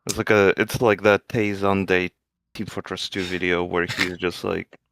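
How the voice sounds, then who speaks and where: A man's voice: low-pitched, level tone, moderate pace, creaky, noisy background. A man co-commentating on a game stream in casual, joking chat, wry and nitpicking.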